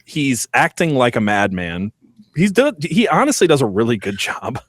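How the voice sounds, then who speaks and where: A man's voice: mid-range voice, wildly swooping pitch, moderate pace, dry recording, studio quality. Chatty man hosting a movie podcast. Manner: enthusiastic, laughs often, restarts sentences. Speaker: podcast host. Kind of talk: casual movie discussion.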